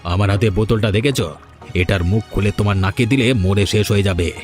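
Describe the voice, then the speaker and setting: Man acting a vengeful male villain, low voice, menacing, hurried retorts, cartoon story, music behind. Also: darkish tone, lightly acted. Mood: angry, vengeful. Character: vengeful man threatening an old woman. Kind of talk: cartoon fairy-tale voice acting. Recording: background music under dialogue.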